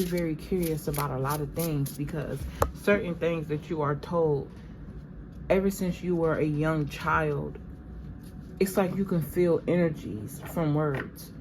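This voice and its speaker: A woman's voice: sits low, background noise. A woman doing a channeled tarot reading in a casual, meandering way, sounding a little stuffy. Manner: conversational, meandering, addresses viewers as "y'all". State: stuffy from allergies. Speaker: tarot reader.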